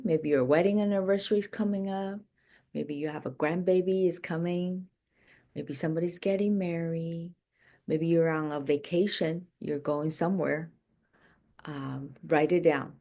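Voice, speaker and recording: Low-pitched woman coach with unhurried delivery. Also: a little mellow. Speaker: life and business coach. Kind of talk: motivational coaching session.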